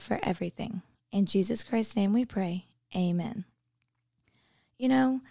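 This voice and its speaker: A woman's voice: mid-range voice, gravelly, warm tone, no echo, clean studio sound. A woman who is an English teacher, giving an earnest personal devotional reflection.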